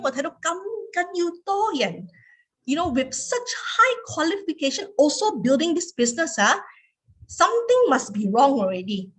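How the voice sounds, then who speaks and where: A woman's voice: fairly high pitch, husky, rough recording. A young woman giving a motivational seminar talk as a guest speaker, switching between Cantonese and English and repeating phrases for emphasis.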